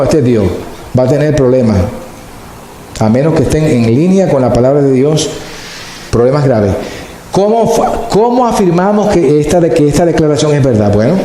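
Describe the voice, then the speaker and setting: A male pastor preaching a sermon slowly and deliberately, stretching words for emphasis. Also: on the high side, mellow. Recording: very echoey hall, faint noise.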